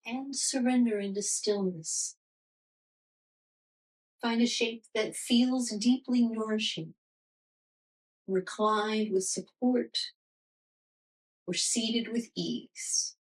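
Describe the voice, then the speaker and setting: A woman teaching restorative yoga, guiding a meditation in a soothing, gentle, unhurried manner. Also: a little high, distant mic, low-quality recording.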